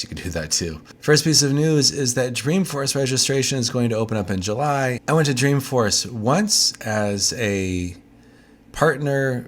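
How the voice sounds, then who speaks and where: A man hosting a casual news roundup, talking loosely with fillers and asides while getting over a cold. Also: fairly low pitch. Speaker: tech video host. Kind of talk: casual monthly news overview.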